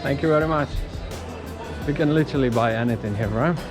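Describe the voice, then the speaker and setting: A man in his thirties vlogs casually from a handheld camera out and about in a city. His voice sits at a medium pitch. Music plays underneath. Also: fairly quick. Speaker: travel vlogger. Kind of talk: casual vlog talk to camera. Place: outdoor city streets and busy covered bazaar. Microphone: camera held at arm's length.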